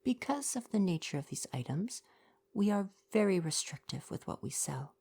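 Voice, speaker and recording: A woman playing a kind young mer person shopkeeper in an ASMR roleplay, speaking softly in polite, articulate, formal retail patter. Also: mid-range voice, very animated, moderate pace, creaky, slightly rough, heavily acted. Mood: earnest, courteous.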